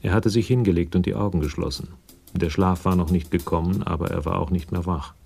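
An actor as the composed narrator of a detective radio drama, reading scripted prose. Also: male voice, deep voice, fairly even intonation, brisk, gravelly, a little mellow, slightly rough, exaggerated voice. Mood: calm, storytelling.

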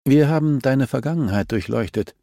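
A man voicing a cool, relentless policewoman in a crime audiobook, calm and insistent as she accuses a suspect. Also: medium pitch, moderate pace, creaky, no room sound, studio quality, voice pushed up, lightly acted. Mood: firm.

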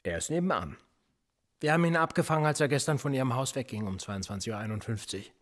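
A man's voice: medium pitch, quick pace, lightly acted. An actor playing a cool, cynical male police interrogator in a radio drama, smug and needling. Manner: smug, needling, lively intonation.

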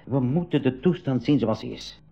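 An actor playing a cautious, protective professor who takes charge in a 1980s school radio adventure. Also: male voice, mid-range voice, lively intonation, moderate pace, gravelly, mellow. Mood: sober, worried. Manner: earnest, protective, authoritative. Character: cautious, commanding adult male professor leading youngsters. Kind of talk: 1980s Dutch-language school radio play acting. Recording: dull lo-fi 1980s radio recording.

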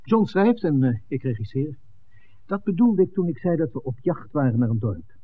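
Lively enthusiastic film director, thin noisy recording. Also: male voice, on the high side, normal speed, narrow, tinny audio. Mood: sociable. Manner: exclamatory, breezy, chuckling between phrases. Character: enthusiastic male television film director, eager and easygoing. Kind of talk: 1960s Dutch radio play acting.

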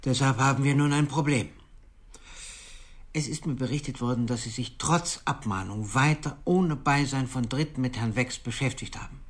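Stern, husky male institution director played by an actor. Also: medium pitch, natural voice. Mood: stern, formal. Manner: measured, bureaucratic, firm. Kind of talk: radio drama acting.

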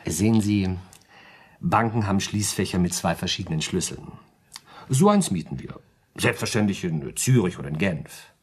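An actor playing an urbane, pedantic lawyer in a radio play, reasoning coolly and politely in a precise, lecturing manner. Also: male voice, low-pitched, lively intonation, moderate pace, husky. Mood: smug, reasoning. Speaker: lawyer giving legal advice. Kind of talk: German radio play dialogue.

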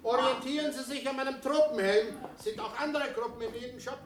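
A woman's voice: sits high, brisk. A woman in a German radio play, playing a bossy tour guide calling a group of visitors together. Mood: brisk, calling out.

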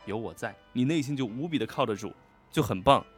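Male livestream co-host, mid-pitched, effusive and repetitive in casual chat, music underneath. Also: lively intonation, husky. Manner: effusive, repeats agreement words in quick strings. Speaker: voice actor co-hosting a livestream about an audio drama.

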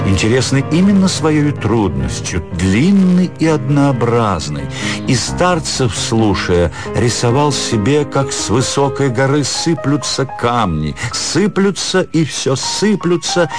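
A man narrating a literary story for radio in a measured, expressive storytelling manner. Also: on the low side. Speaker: narrator, actor reading literary prose. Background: music under the voice.

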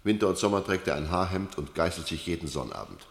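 A man's voice: low-pitched, very animated, quick pace, vocal fry, heavily acted. An actor playing a benevolent, learned man who gives a solemn, earnest testimonial in a satirical radio play. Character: solemn male expert witness praising the accused, benevolent, learned. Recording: echoey hall with long reverb.

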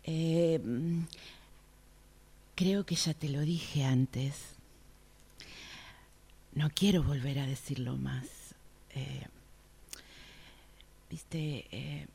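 A woman in a radio drama exercise playing a weary, irritated person who demands attention, husky and halting. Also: very deep voice, warm tone, exaggerated voice. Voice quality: husky, gravelly. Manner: halting, deliberate.

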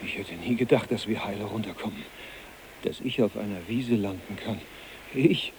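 An actor playing a brooding, restless and weary husband in a radio drama, with an understated manner. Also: male voice, fairly low pitch, normal speed, background noise, natural voice. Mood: weary relief.

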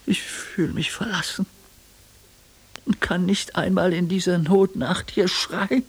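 A tormented, guilt-laden man at prayer in a radio drama. His voice is very husky and cracked with creak, and he confesses slowly and haltingly, as if death were close. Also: high-pitched, steady tone, full character voice. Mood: despairing. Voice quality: husky, gravelly, breathy. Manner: slow, halting confession, often near whisper. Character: anguished, guilt-ridden man praying as death nears. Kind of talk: German radio play acting.

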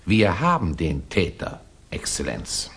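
An actor playing a self-important, flustered Russian prince in a 1960s German radio play, insistent and pompous. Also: male voice, deep voice, huge pitch swings, takes it slowly, a bit bright, husky, full character voice. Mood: agitated, insistent.

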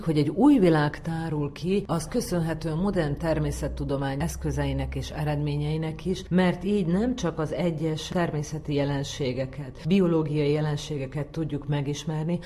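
A woman's voice: low-pitched, mellow. A woman speaking as an academic expert, explaining ideas in long, thoughtful sentences. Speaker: social psychologist, interviewed expert.

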